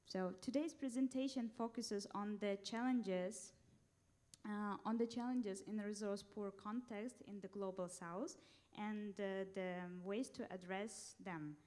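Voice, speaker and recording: A woman's voice: medium pitch, fairly even intonation, unhurried, darkish tone. A young woman from Uzbekistan giving a formal conference presentation into a handheld mic in a large hall, careful and hesitant with fillers.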